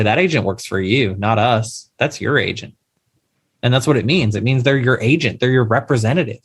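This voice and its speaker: A man's voice: medium pitch, husky. A man, an insurance attorney, explaining coverage to investors in an emphatic way, often with rhetorical questions, on a podcast interview. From Louisiana, USA.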